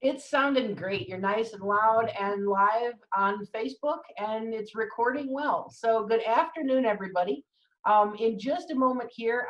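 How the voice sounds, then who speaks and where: A woman opens a live online talk as host, upbeat and chatty, with a few fillers along the way. Her voice sits at a middle pitch. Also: poor audio. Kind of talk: live-stream introduction.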